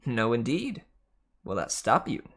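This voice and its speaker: Worldly male god for a storybook, played by a reader: chatty, mischievous, teasing, unhurried. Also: medium pitch, sing-song. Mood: wry, probing.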